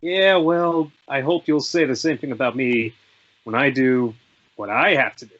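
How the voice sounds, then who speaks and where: A man's voice: medium pitch, steady tone, moderate pace, a bit bright, highs cut off, natural voice. A man playing a defiant, distrustful young commando with curt retorts in a script read. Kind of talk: screenplay table read.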